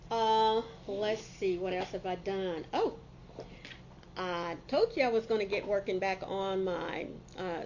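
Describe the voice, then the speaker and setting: A woman's voice: mid-range voice, lo-fi sound, a little hiss or hum. A middle-aged woman, a bit congested from a cold, chatting casually and folksily to camera as a crafting channel host.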